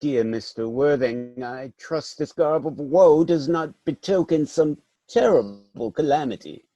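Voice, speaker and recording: A man's voice: a little high, lively intonation, a little slow, dark tone, dry recording, lo-fi sound. A man playing a pedantic, mild, middle-aged clergyman in a dramatic reading of a stage comedy, speaking in pompous phrases. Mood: solemn concern.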